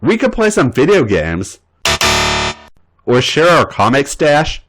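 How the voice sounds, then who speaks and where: A man's voice: medium pitch, wildly swooping pitch, slow, loud music behind the voice, voice pushed up. Man reading as an eager, earnest young guy, voice swinging up when he gets keen. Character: affectionate, eager young male wrestler.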